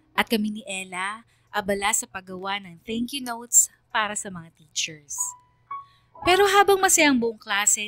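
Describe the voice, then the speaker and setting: Woman as reflective schoolgirl storyteller, medium pitch, dry studio sound, radio drama narration, caring. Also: bright, forceful tone, no echo. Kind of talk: first-person storytelling narration.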